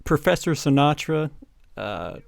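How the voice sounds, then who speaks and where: Very slow, creaky-voiced podcast co-host. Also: mid-range voice, very animated, no echo. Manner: sardonic, amused interjections. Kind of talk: casual joking commentary on a read-aloud.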